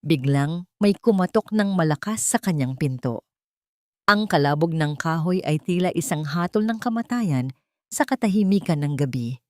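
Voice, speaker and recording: Low-pitched woman narrating drama, dry sound. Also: animated, moderate pace, no room sound. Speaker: story narrator.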